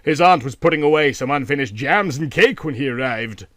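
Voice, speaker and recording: A man's voice: medium pitch, expressive, a little fast, fairly bright tone, no echo, studio quality. A man reading a literary short story aloud as an audiobook narrator.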